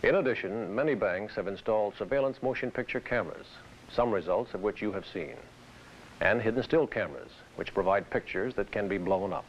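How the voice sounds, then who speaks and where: A man presenting scripted instruction in a formal, deliberate manner. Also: on the low side. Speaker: training film presenter. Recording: dull hissy vintage training film soundtrack.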